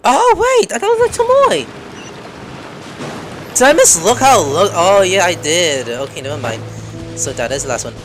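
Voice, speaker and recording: Male gamer, highish voice, lively swings in pitch, casual self-talking commentary. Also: normal speed, long pauses, soft background music. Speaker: gaming content creator.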